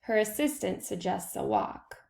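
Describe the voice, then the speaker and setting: Woman reading a storybook, gravelly creak. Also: medium pitch, animated, measured pace, darkish tone, slight background noise. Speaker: read-aloud reader. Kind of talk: children's picture book read-aloud.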